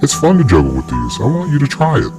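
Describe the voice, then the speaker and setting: A man's voice: mid-range voice, huge pitch swings, fast, music in the background, pitched above the performer's own voice. A man voicing a small, sinister creature who poses as a child's friend in a horror story, secretive, coaxing and insistent.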